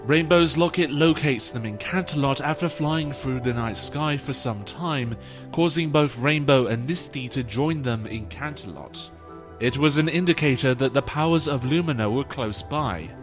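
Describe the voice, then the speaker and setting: A man's voice: mid-range voice, normal speed. Male story narrator over music. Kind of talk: scripted story recap narration. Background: background music.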